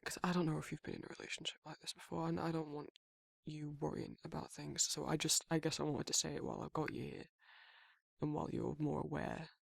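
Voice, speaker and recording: A man playing a guarded but soft-hearted werewolf in an intimate ASMR roleplay, talking in a halting, informal way with a husky, gravelly voice. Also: on the high side, level tone, no echo, clean studio sound, exaggerated voice. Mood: shy, tender. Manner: hesitant, halting, rambling, informal. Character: guarded, soft-hearted male werewolf shyly confessing affection.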